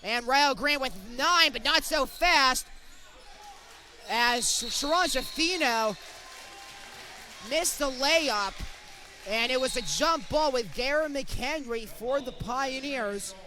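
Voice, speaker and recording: Young guy doing hoops play-by-play, really high bright voice, excitable, short clipped phrases. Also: slow, a little hiss or hum. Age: young adult. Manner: excitable, narrates each pass in clipped phrases. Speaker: sports commentator. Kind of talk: basketball play-by-play commentary.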